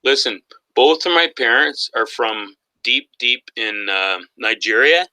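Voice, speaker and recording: Bright, animated Irish Canadian man. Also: medium pitch, unhurried, poor audio. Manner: loud, animated, joking rants. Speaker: guest. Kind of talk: casual livestream chat.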